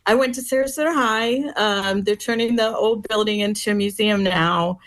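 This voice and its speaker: A woman's voice: mid-range voice, normal speed, fairly bright tone. A woman from Florida, a radio guest, reminiscing in a friendly way with many fillers. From Florida, United States. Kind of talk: casual interview chat.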